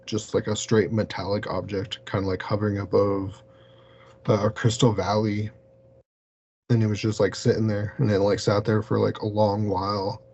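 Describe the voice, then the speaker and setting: A man's voice: on the low side, creaky, dark tone, breathy edge. A man who is an interview guest, telling anecdotes casually in a rambling way and saying "like" very often. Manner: rambling, very frequent "like". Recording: muffled lo-fi audio, hum and hiss.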